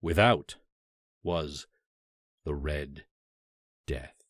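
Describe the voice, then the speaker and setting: A man narrating a classic horror story as a dramatic literary audiobook, with theatrical, deliberate phrasing and emphatic pauses. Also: rumbling low, no room sound, studio quality.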